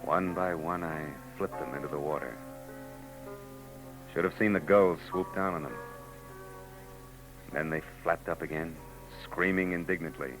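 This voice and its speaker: An actor playing a weary hard-boiled private eye narrating a 1940s radio drama in dry, world-weary first person. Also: male voice, sits low, unhurried, pauses often, low-quality recording. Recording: old radio broadcast with music under.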